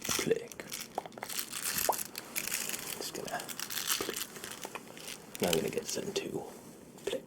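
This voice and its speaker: A man doing a soft-spoken, half-whispered ASMR roleplay in a soothing, reassuring manner, murmuring and repeating himself close to a sensitive microphone. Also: extremely slow, pauses often, heavy background noise. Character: friendly shady dealer treating a client's tingle immunity. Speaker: ASMR performer.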